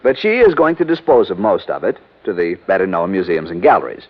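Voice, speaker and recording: A man's voice: low voice, expressive, brisk. An actor playing a formal, easily scandalized office man in a 1940s radio drama. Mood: prim. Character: prim, proper male insurance office man. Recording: muffled old radio broadcast.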